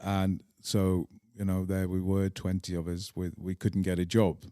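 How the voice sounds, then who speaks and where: A middle-aged man with an English accent telling personal stories as an interview guest, deliberate and emphatic, pausing between phrases. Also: low-pitched, little pitch movement, dark tone, dry recording, studio quality. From England. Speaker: podcast guest. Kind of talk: reflective personal storytelling in an interview.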